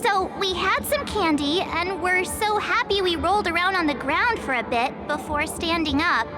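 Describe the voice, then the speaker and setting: A woman's voice: extremely high pitch, lively intonation, moderate pace. A woman voicing a perky, easily flustered teenage girl adventurer in a chatty video game scene. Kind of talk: video game story dialogue. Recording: loud music behind.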